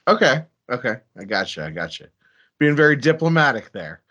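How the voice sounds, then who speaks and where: A man's voice: medium pitch, sing-song, a little fast, bright, forceful tone, muffled recording. A man from Ohio hosting a casual podcast interview, often stammering and repeating words as he forms questions. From Ohio, United States. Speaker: podcast host.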